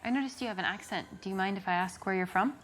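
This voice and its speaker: A woman's voice: mid-range voice, racing, fairly bright tone, close to an everyday voice. An American woman acting out a casual getting-to-know-you conversation in a video game. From United States. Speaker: actress playing a date in an interactive video game. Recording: noticeable background noise.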